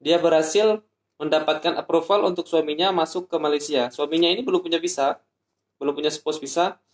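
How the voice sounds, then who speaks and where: A man from Indonesia explaining an application process step by step in a vlog, spelling out addresses slowly. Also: fairly high pitch, fairly even intonation, roomy sound. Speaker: vlogger.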